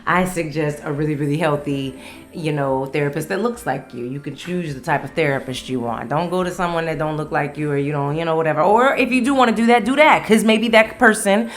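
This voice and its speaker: Low-pitched woman, candid podcast chat. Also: brisk. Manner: candid, playful, laughs often, swears freely. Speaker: podcast host. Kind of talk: casual chatty solo podcast talk.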